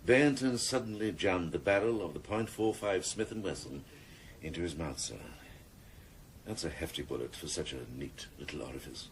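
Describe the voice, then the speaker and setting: A man's voice: sits low, animated, moderate pace, far from the mic, a little hiss or hum. A man playing a sardonic, slippery British senior policeman in a radio drama, suave and mock-solemn with ornate, pompous phrasing. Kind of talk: 1990s radio drama acting.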